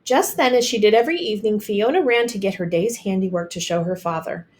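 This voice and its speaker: A woman reading a children's story aloud as an expressive storyteller. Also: mid-range voice, fast. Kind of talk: expressive children's picture book narration.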